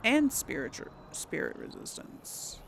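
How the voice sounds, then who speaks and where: A woman's voice: a little slow, fairly bright tone, a little hiss or hum. A woman giving casual gaming commentary, thinking aloud in a hesitant, musing way and often trailing off. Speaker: let's player hosting a role-play playthrough.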